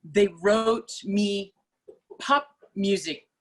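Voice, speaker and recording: A middle-aged woman opera singer, speaking as a guest in a relaxed interview, thoughtful and full of small restarts and fillers. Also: mid-range voice, very slow. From Malaysia. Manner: thoughtful, many restarts and fillers, laughs easily. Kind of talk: casual interview chat.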